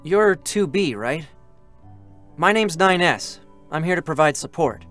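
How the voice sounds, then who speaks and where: A man's voice: a little high, expressive, a little slow, a bit bright, faint music behind. A man voicing a boyish, chatty young male android scout in a dramatic reading, eager, hesitant and openly emotional. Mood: friendly.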